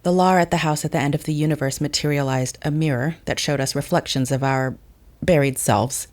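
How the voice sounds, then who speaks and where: An actress playing a serious, composed woman in an audio drama, with a measured, formal manner. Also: sits low, steady tone, darkish tone, natural voice. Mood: solemn.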